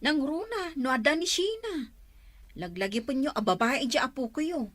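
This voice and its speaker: A woman plays an adult daughter who has run out of patience with her mother. Her scolding voice is high and very lively. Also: normal speed, no echo. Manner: scolding, exasperated.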